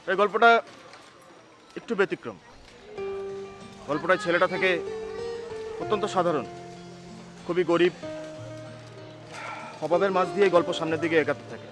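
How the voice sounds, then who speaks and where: A man's voice: high voice, close to an everyday voice. A young man acting an earnest, reassuring poor young friend and son in a short-film drama. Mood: reflective.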